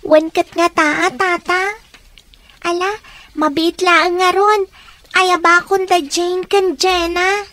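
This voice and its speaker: A woman's voice: very high voice, flat delivery, takes it slowly, bright, forceful tone, exaggerated voice. A woman playing a young daughter in a radio drama, girlish, plaintive and childlike as she speaks to her father. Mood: hopeful. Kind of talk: Ilocano radio drama acting.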